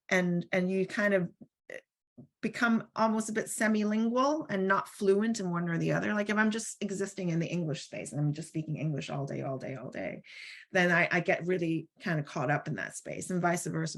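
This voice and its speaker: A woman's voice: on the low side, gravelly. A woman speaking in an online panel discussion, thoughtful and searching, with many restarts and fillers, heard over a video call. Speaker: roundtable speaker.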